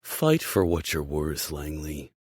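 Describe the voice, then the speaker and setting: A man's voice: rumbling low, sing-song, slow, husky, no echo, clean studio sound, exaggerated voice. A man voicing a hearty, encouraging male team boss in an emphatic, fatherly way for an audiobook. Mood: encouraging, firm.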